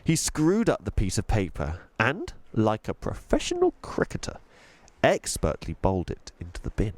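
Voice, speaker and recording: A man narrating a children's audiobook in an expressive storytelling style. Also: lowish voice, normal speed, no room sound.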